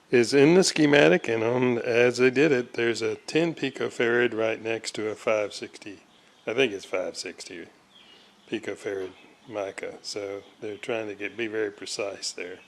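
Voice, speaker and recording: An older man giving casual, halting, folksy narration as a hobbyist at his workbench. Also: fairly low pitch, steady tone, gravelly. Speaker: radio restoration hobbyist and host.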